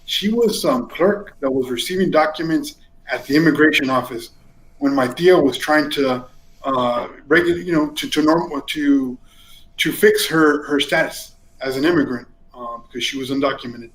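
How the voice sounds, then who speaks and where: In a roomy, echoing space, a man in his forties talks in a fairly high voice. He is a native Spanish speaker from California, an interview guest telling stories in a casual, animated way. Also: normal speed. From East San Jose, California, United States. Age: 40s. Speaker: interview guest, community politics commentator. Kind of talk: casual personal storytelling.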